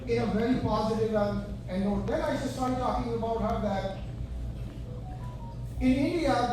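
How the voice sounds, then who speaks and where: A man addresses a gathering in a high voice, pausing and restarting as he goes. He is picked up from a distance in a very echoey room, with clear noise over the recording. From born in Pakistan, raised in the US. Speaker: event speaker. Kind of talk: public speech. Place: large echoey indoor reception room.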